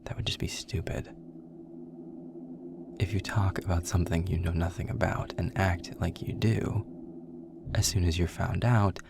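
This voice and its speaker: A man playing a sarcastic, worldly demon mentor in an intimate audio roleplay, with dry, deliberate phrasing and a low, husky, gravelly voice. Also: steady tone, whispered. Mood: wry, blunt. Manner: dry, sardonic, breathy asides, deliberate phrasing. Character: sarcastic, snarky adult male demon mentor, worldly and proud. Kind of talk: intimate audio roleplay acting, addressed to the listener.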